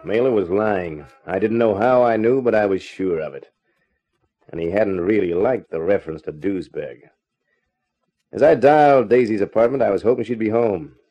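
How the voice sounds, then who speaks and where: An actor playing a wry, tough private eye who also narrates, in clipped 1950s radio detective style. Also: male voice, on the low side, normal speed, warm tone, close to an everyday voice. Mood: matter-of-fact.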